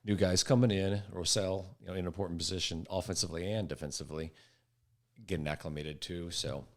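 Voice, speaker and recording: A man's voice: low voice, steady tone, fast talker. An American man discussing sports casually as a podcast panelist.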